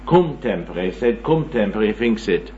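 A very old man in his nineties lectures slowly and unhurriedly, with plenty of pauses and hesitations. He is self-mocking and rambling, forever adding tag questions. The recording sounds dull, with a faint hum underneath. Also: fairly low pitch, sing-song, mellow. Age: 90s. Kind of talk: informal lecture to an audience.

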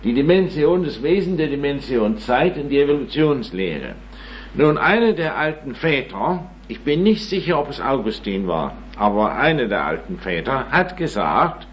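A man in his nineties giving an informal lecture, rambling and self-mocking, with many hesitations and tag questions. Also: medium pitch. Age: 90s. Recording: dull recording with faint hum.

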